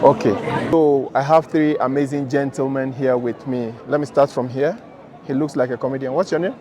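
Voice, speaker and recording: A man's voice: mid-range voice, steady tone, normal speed. A Ghanaian man hosting a casual, teasing street interview. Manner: upbeat, teasing questions. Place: busy city street outdoors. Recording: loud street crowd and music.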